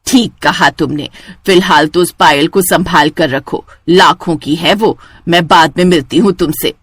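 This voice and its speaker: A woman's voice: on the low side, measured pace, fairly bright tone, slightly rough, no room sound, pitched below the performer's own voice. A woman voicing a cold-hearted, greedy village aunt who talks in a sly, calculating way, in a cartoon. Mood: conspiratorial. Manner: sly, calculating, falsely concerned. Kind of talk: Hindi cartoon villain acting.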